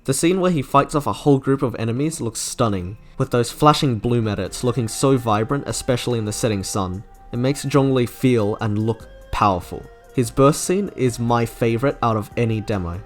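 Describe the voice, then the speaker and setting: A man's voice: mid-range voice, moderate pace, few pauses. A man narrating a scripted, opinionated video review with enthusiasm. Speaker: video creator reviewing game character trailers. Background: music.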